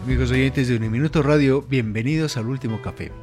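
A man's voice: medium pitch, fast talker, music in the background. A man hosting a radio interview program who asks long, earnest, winding questions. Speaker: radio host.

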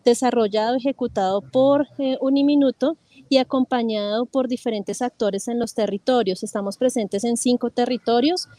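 A woman who leads a research project speaks calmly and articulately in a fairly high voice. The sound is dry and close. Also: moderate pace, no room sound. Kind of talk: explaining on a talk program.

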